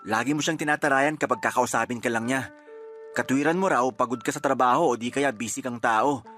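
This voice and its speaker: A man's voice: medium pitch, fairly quick, fairly bright tone, soft background music, close to an everyday voice. A man playing a reproachful, protective boyfriend in a Filipino radio drama, earnest and reproving, with a Filipino accent. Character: reproachful boyfriend, protective of his younger sister.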